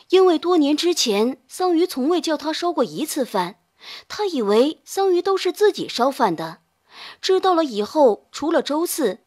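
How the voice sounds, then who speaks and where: High, lively female audiobook narrator. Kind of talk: scripted dramatic novel narration.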